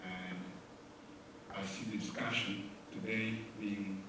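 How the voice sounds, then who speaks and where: A man from the Congo with a fairly low voice puts a question from the audience. He speaks deliberately, pausing between short phrases. The room echoes heavily and he is distant from the mic, with noise behind. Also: level tone. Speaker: audience member asking a question. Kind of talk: question from the floor.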